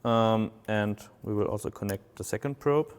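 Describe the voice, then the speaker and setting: A man whose first language is German gives a halting product walkthrough, pausing often on filler sounds. His voice is smooth and resonant. It carries a long hall reverb. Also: lowish voice. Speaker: product presenter. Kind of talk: product unboxing demonstration.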